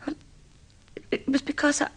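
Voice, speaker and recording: A woman's voice: moderate pace, close to an everyday voice. An actress playing a guarded, wounded woman grieving her former fiancé, with dramatic, emotional delivery in vintage radio drama style. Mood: anguished, regretful.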